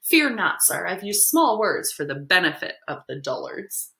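A woman's voice: on the low side, big pitch swings, moderate pace, vocal fry, bright, forceful tone, roomy sound, heavily acted. A woman voicing a precocious, independent schoolboy in a storybook with condescending self-assurance. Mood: haughty.

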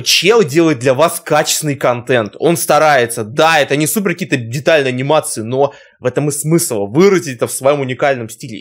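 A young man with a fairly high voice comments casually as a reaction host. His delivery is emotional and full of exclamations. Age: young adult. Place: furnished room at home, indoors.

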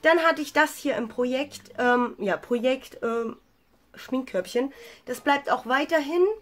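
A woman's voice: high-pitched, a little slow. A young woman with a cold, chatting enthusiastically about her makeup with lots of fillers, recorded by a camera in a small room at home. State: has a cold. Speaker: beauty vlogger. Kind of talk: casual makeup review.

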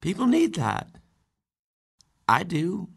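An actor playing an earnest, anxious transgender teenage girl in an audio play, speaking in halting, youthful phrases. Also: medium pitch, huge pitch swings, creaky, slightly rough, full character voice. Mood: earnest, hesitant. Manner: hesitant, halting, youthful phrasing with likes and ums. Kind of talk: naturalistic audio play acting.

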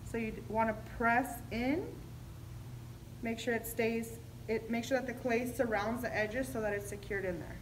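A woman's voice: a little high, slight background noise. A young woman chattily explaining a craft step by step in a casual tutorial, slightly muffled by a face mask. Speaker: craft video host. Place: indoor room with decorated backdrop.

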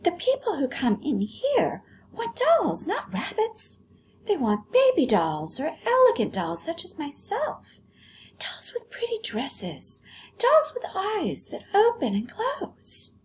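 A snooty little china doll from a storybook, acted out by a woman, speaks in a very high, squeaky voice. She swoops about with self-important disdain, over faint background noise. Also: wildly swooping pitch, slow, full character voice, rough recording. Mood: haughty. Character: haughty, vain female china doll in a shop.

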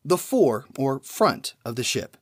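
A man hosting a trivia podcast, reading numbered questions and then giving each answer after a pause, in a measured way. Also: medium pitch, very animated.

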